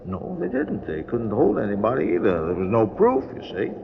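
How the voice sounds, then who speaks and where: A helpful, chatty train conductor in a 1950s radio play. He rambles politely, hesitating and restarting, and his medium-pitched voice rises and falls a lot. The vintage recording is muffled and noisy. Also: huge pitch swings, normal speed, creaky. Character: obliging, chatty male train head conductor. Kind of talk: 1950s radio drama acting.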